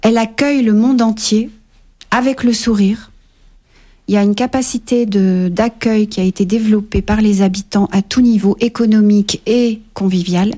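Reflective woman author on radio, mellow. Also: mid-range voice, steady tone, normal speed. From Paris, France. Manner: hesitant, many fillers, warm and reflective. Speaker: author guest. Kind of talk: radio interview. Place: radio studio.

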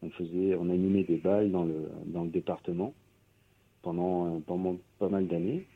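A man's voice: deep voice, steady tone, moderate pace. A man who is a guest musician, talking casually in a radio interview, hesitant with many fillers and restarts. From Pyrénées-Orientales, France. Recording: tinny phone-like radio line.

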